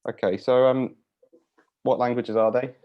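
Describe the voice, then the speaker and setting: A man's voice: mid-range voice, fairly even intonation, unhurried, clear, resonant tone. A man hosting an interview, putting polite, semi-formal questions on a slightly dull recording. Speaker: interviewer, host.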